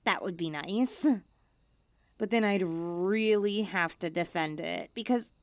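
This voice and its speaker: A woman's voice: fairly low pitch, slow pace, dry recording. A woman voicing a cute, self-important young stray catgirl in a languid ASMR roleplay. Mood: cozy, content. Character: cute, smug, pampered, drowsy young female stray catgirl.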